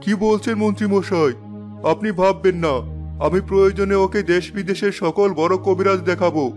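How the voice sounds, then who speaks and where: A man playing a kindly, dignified king in an animated fairy tale, speaking in a formal, courteous way. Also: sits high, a little fast, faint music behind, lightly acted. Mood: concerned. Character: kindly, dignified king, brotherly toward his minister.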